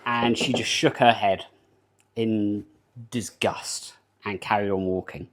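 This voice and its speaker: A man's voice: lowish voice, fairly even intonation, takes it slowly, noisy background. A British man streaming live from his home kitchen while baking, rambling casually, laughing often and answering his chat. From United Kingdom.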